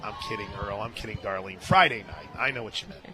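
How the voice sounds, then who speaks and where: A man doing live radio play-by-play of a softball game, mixing pitch calls with folksy, joking asides. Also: lively intonation, fast. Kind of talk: live softball radio commentary with casual banter. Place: outdoor stadium press booth.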